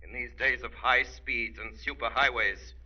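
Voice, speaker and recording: An actor playing an ominous, mysterious avenger giving stern warnings in a dramatic 1930s radio advertisement. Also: male voice, mid-range voice, steady tone, normal speed. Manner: forceful, dramatic. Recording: dull 1930s radio recording.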